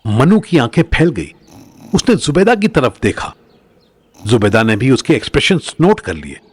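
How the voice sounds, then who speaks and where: A man's voice: mid-range voice, very animated, moderate pace, husky. A man narrating a scripted audio drama in a dramatic storytelling style.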